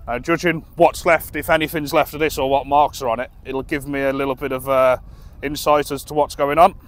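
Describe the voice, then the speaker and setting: A man's voice: mid-range voice, fairly even intonation, fairly quick. A middle-aged British man in casual running commentary as he fishes from a dock at night, chatty and self-amused, recorded by a camera some distance away. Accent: British English. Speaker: angler vlogger. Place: dock by the sea at night, outdoors. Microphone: camera on tripod, at a distance.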